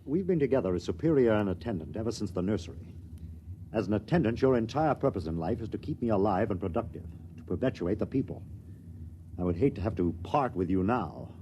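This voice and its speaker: A young actor playing a dogmatic, stiffly commanding superior in 1950s radio drama style. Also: male voice, on the low side, lively intonation, brisk, dull audio, lightly acted. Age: young adult. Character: rigid young male superior, dutiful. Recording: faint hiss, 1950s radio transcription.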